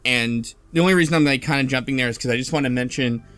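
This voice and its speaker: A man's voice: medium pitch, quick pace, a bit bright, clean tone. Rambling, informal man over music. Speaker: book club podcast panelist. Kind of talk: casual chat recapping a game's story. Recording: music playing behind the talk.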